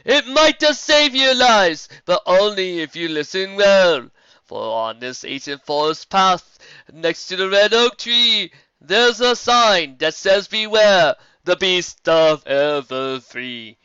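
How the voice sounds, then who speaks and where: A man voicing a mysterious female zebra bard who sings her warnings in slow, drawn-out rhyme, on a dull lo-fi recording. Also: sits high, animated, bright, forceful tone, clear, resonant tone, no echo, voice pushed up, exaggerated voice. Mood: ominous singing. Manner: sung, rhyming and slow.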